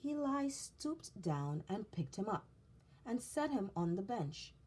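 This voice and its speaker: A woman reading a children's story aloud in an expressive narrating style. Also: medium pitch, slow pace, a little hiss or hum. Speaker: storybook reader.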